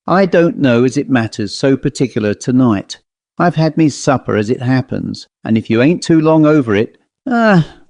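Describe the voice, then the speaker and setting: A man's voice: medium pitch, lively intonation, normal speed, a little mellow, no room sound, close to an everyday voice. A man voicing a grumpy, indignant, self-important owl in a story, complaining in rustic, ungrammatical speech. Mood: grumbling. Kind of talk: storybook character voice.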